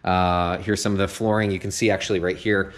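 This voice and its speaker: A man's voice: deep voice, level tone, brisk, slight background noise. A man giving a casual walkthrough of an unfinished house, speaking conversationally with many fillers and frequent "okay?" check-ins. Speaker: home sales guide. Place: unfinished house interior, open framing.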